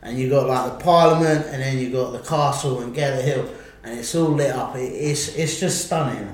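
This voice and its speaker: A man's voice: medium pitch, a little fast. English man, echoey room. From England. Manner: rambling, restarts, laughs often. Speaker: podcast host. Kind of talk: casual chat. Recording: very echoey room, faint ambience.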